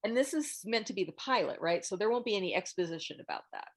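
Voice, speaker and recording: A woman hosting an online group critique, giving frank, thoughtful script feedback in a halting, casual way over a video call. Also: mid-range voice, lively intonation. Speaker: host of a table read meet-up giving script feedback. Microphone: video call microphone.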